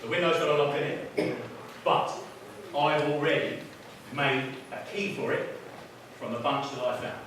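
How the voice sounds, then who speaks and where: An actor playing a scheming, bumbling pantomime robber with halting, deliberate stage delivery in a school hall. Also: medium pitch, close to an everyday voice. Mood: plotting. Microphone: distant camera microphone. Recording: echoey school hall, distant microphone, noisy.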